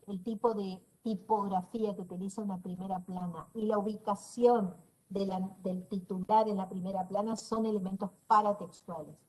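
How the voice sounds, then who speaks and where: A middle-aged woman with a northeastern Argentine accent teaches an online class over a video call. Her pitch sits in the middle range for a woman. She explains patiently, checks for understanding with quick questions and slips in dry teasing remarks. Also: poor audio. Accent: northeastern Argentine Spanish. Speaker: university lecturer.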